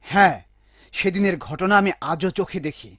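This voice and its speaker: Cartoon villager, male performer: pious, admonishing and earnest, high-pitched voice, dry close recording. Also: moderate pace, fairly bright tone, dry recording. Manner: earnest, scolding, instructive. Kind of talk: animated religious cartoon dialogue.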